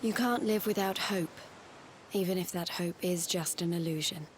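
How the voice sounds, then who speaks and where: A composed game heroine acted by a woman with a slightly low voice. She is caring and gently reassuring, over a faint rumble. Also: normal speed, natural voice. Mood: gentle, somber. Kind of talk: video game voice acting.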